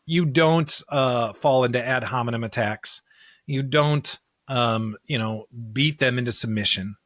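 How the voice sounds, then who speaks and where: A man's voice: mid-range voice, unhurried, fairly bright tone, no room sound, studio quality. A man co-hosting a podcast, discussing scripture conversationally in an earnest way, often posing rhetorical questions. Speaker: podcast co-host.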